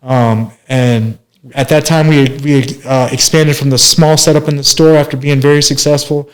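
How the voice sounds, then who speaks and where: A man's voice: medium pitch, steady tone, normal speed, rough recording. A man in his forties from Minneapolis, telling his life story as an interview guest in a rambling way, with many fillers and restarts. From Minneapolis, USA. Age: 40s. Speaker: guest, master barber.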